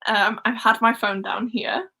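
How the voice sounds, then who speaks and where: A young woman from Wales with a Welsh accent, Welsh her first language, chatting excitedly on a live stream. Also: on the high side, unhurried, gravelly, husky. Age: young adult. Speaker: host. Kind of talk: casual live-stream chat.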